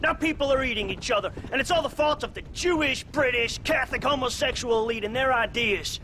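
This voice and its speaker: An actor playing a paranoid, bigoted old shopkeeper in a western game, drawling slowly and sneering. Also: male voice, extremely high pitch, sharp tone, a little hiss or hum, low-quality recording. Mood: ranting. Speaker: general store owner.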